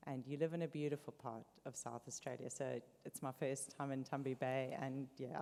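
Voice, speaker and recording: A woman's voice: rumbling low, warm tone. A woman from South Africa with a South African accent, German her first language, giving a friendly presentation. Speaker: speaker for an industry network. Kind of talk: presentation to an audience. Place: hall at a business briefing. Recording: long hall reverb.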